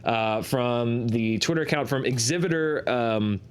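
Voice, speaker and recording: A man with a mid-pitched voice hosts an entertainment chart show. He explains things conversationally, in a measured and analytical way, like a commentator walking a co-host through the details. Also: clean tone. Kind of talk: conversational box office commentary.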